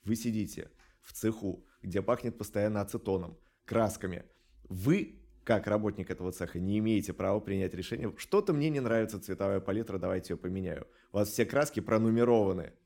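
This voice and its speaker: A man speaking as a studio director in an interview, explaining things in a measured, articulate way with rhetorical emphasis. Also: fairly low pitch, vocal fry, warm tone.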